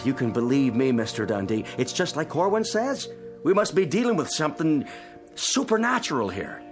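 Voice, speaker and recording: Guy doing a folksy american cop in an old radio drama, blunt n gruff, pitch jumps around a lot. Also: sing-song, normal speed, faint music behind, lightly acted. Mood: defensive. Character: gruff, busy, plain-spoken male police officer.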